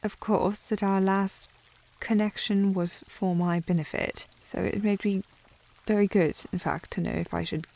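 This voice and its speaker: Casting: actress as prim, snooty young lady of the manor, British accent, fry-edged voice, coy and prickly, intimate period ASMR roleplay. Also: medium pitch, fairly even intonation, measured pace, mellow. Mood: indignant. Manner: prim, snooty, formal phrasing with coy indirectness. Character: haughty, flustered young noble lady of the house, coy. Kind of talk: intimate ASMR historical romance audio roleplay.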